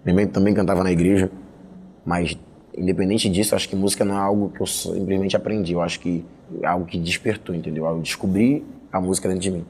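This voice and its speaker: Fast, low-voiced young Carioca man. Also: steady tone, a little mellow, slight background noise. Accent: Carioca Brazilian. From Rio de Janeiro, of Angolan family. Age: young adult. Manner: colloquial, slangy. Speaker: hip hop musician interviewee. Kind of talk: casual personal storytelling in an interview.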